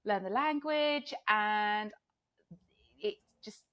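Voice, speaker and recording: A hoarse woman from England, a podcast guest, telling her story in a chatty way with frequent laughs and fillers. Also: mid-range voice, drawn-out words, muffled recording. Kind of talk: casual interview storytelling.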